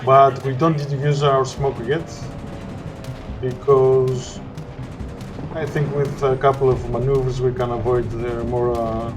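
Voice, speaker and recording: A man with a Greek accent narrates a game match in unhurried, careful English, stopping often to search for words. His voice sits in an ordinary middle pitch. Faint game music plays under his commentary. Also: little pitch movement. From Greece. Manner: halting, searching for words with frequent "uh". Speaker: gameplay commentator. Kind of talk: gameplay commentary.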